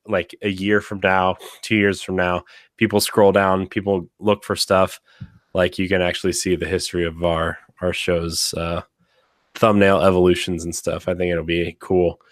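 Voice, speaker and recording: Deep-voiced man hosting a podcast, slightly raspy. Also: flat delivery, measured pace, no echo, studio quality. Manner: rambling, many fillers and restarts. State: slightly raspy from being sick. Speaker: podcast host. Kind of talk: casual chat.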